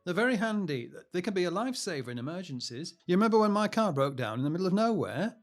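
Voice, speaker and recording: An actor playing a patient, gently teasing older husband and father in a naturalistic audio drama. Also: high voice, big pitch swings, quick pace, faint music behind. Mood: patient, coaxing. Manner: chatty, gently teasing, practical.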